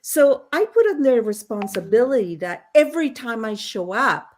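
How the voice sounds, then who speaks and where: A woman's voice: fairly high pitch, sing-song, moderate pace, darkish tone. A woman hosting a casual panel chat on a video call, telling stories with frequent laughs. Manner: chatty, laughing storyteller. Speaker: host.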